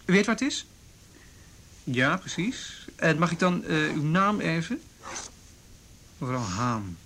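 Careful police detective, slightly high male voice, measured, reassuring, radio play, faint background noise. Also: very animated, pushed and bright, heavily acted. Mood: polite, tactful. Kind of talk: naturalistic Dutch radio drama acting.

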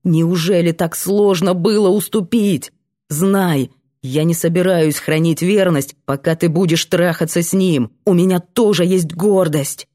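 A woman's voice: fairly low pitch, unhurried, dark tone. A woman voicing a stubborn, jealous young male student with a pushy, sarcastic manner in an audiobook. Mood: pushy, accusing.

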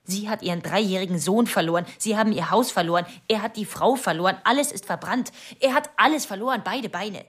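An actress playing a troubled, haunted wife who confesses to a police inspector in intense, fragmented radio drama narration. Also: female voice, medium pitch, fairly quick, hardly any pauses, lightly acted. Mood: agitated, bitter.

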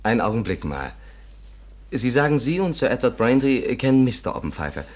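An actor in a 1950s German radio play playing a confident, persuasive schemer who coaxes with easy assurance. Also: male voice, medium pitch, sing-song, fairly quick. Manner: coaxing, confident. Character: smooth-talking, persuasive male schemer, confident and coaxing.

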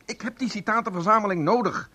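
A man's voice: high voice, fast, sharp tone. A man playing a stiff, duty-bound official in a radio drama, formal and aggrieved. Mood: impatient, aggrieved. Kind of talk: Dutch radio drama acting. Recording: noisy old radio drama recording.

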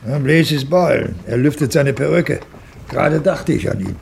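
An actor playing a lively, excitable man riding with mourners in a German radio play, in a creaky voice. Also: fairly high pitch, moderate pace. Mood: excited. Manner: excitable, calling out, animated. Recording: faintly noisy old radio recording.

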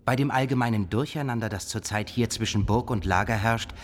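An actor playing a commanding, suspicious medieval expedition leader in a radio drama, with an authoritative, theatrical delivery. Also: male voice, on the low side, fairly quick, lightly acted. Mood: suspicious, threatening. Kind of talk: German radio drama acting. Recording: long reverberant hall.

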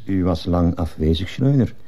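Very deep, dark-voiced stern headmaster. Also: male voice, lively intonation. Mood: stern, formal. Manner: formal, measured, officious. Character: stern, formal male school headmaster, coldly dutiful. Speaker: actor in a radio play. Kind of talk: Dutch-language radio drama acting.

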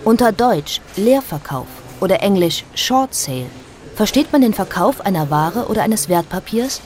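An actress playing a dry, matter-of-fact announcer who reads out accounts and definitions in a radio play. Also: female voice, medium pitch, very animated, normal speed, darkish tone, lightly acted. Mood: informative. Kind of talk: scripted read-out in a radio play.

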